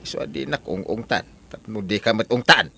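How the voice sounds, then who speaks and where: A man's voice: on the low side, lively intonation, fairly quick, creaky, bright, forceful tone, husky, heavily acted. A man playing a stubborn, grumbling husband in an audio drama, with an Ilocano accent. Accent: Ilocano Filipino.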